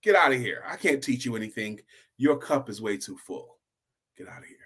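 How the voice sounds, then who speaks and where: A man's voice: medium pitch, lively intonation, brisk, a bit bright, slightly rough, voice pushed down. A man voicing a stern old monk teacher in a told tale, speaking in a curt, dismissive way. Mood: dismissive, firm.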